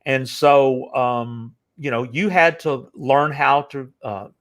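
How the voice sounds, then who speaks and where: A man's voice: medium pitch, slow pace, fairly bright tone. An older man hosting a casual interview, talking in long rambling stretches full of fillers and restarts. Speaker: interview host.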